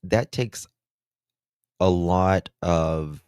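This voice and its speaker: A man hosting a true-crime livestream in casual chat, with a deep voice and a slow, halting way of talking full of fillers. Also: darkish tone, clear, resonant tone, dry recording, studio quality. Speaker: livestream host.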